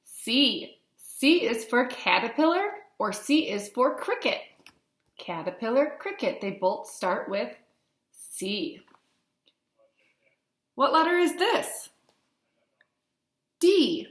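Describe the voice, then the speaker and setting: Lady teacher doing a kids read-along, kinda high voice, roomy webcam sound. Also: very animated, slow, pauses often. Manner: simple explanations with frequent questions to listeners and stressed letter names. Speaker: teacher reading aloud to young children. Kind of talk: read-along lesson for children. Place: indoors, small plain-walled room.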